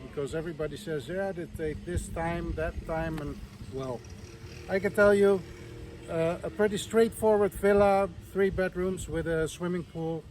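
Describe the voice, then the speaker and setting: Man from the netherlands, builder giving advice, fairly high voice, lots of ums, faint traffic noise outside. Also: a little mellow. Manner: plain, hesitant, many ums and uhs. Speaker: villa builder. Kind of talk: casual to-camera advice.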